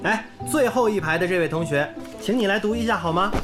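A man's voice: sits high, fast, close to an everyday voice. An actor playing a kindly, earnest young male English teacher in a radio drama, with an encouraging manner. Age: young adult. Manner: clear, encouraging classroom delivery. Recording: music under the dialogue.

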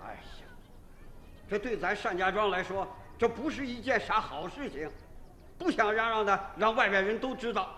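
An actor playing a blustering, exasperated rural village head in a Chinese radio drama, talking in a folksy, roundabout way. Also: male voice, high voice, normal speed, bright, forceful tone. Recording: roomy echo, faint music behind.

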